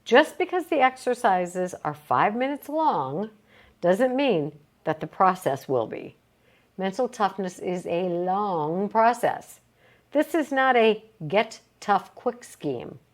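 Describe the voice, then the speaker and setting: A woman reading a motivational self-help book aloud, with emphatic, deliberate phrasing and short pauses. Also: mid-range voice, big pitch swings. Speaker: reader of a book read aloud.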